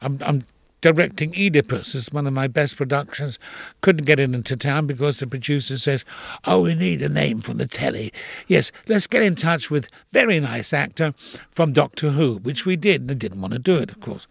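A man's voice: medium pitch, lively intonation, normal speed, vocal fry, dry recording. Older male actor, Dublin accent, frank talker, bone-dry studio sound. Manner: expansive, frank, opinionated. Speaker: actor and playwright. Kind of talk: interview.

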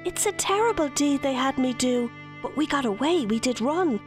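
A woman's voice: high voice, animated, normal speed, over music, pitched above the performer's own voice. A woman voicing an earnest young man in a storybook tale, pleading and remorseful. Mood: urgent.

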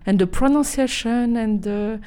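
A French woman with a French accent, a language student chatting about herself in halting, casual English. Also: a little high, mellow, clean tone. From France. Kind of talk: casual interview answer.

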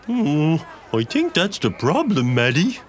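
A woman's voice: low voice, wildly swooping pitch, unhurried, full character voice. A woman voicing a friendly, knowing talking pet dog in a cartoon. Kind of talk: cartoon voice acting.

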